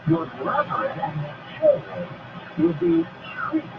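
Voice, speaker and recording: A man's voice: very high-pitched, wildly swooping pitch, slow pace, vocal fry. A man preaching an impassioned sermon, emphatic and repeating phrases, heard over shortwave radio. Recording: muffled, very noisy shortwave radio broadcast.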